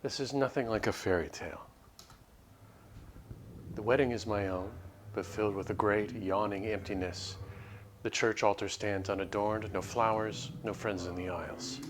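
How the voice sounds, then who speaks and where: A man livestreaming a game, chatting casually and reading story text aloud in a measured, literary way. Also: fairly low pitch, fairly even intonation, a little hiss or hum. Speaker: game streamer. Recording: background music under the voice. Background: music.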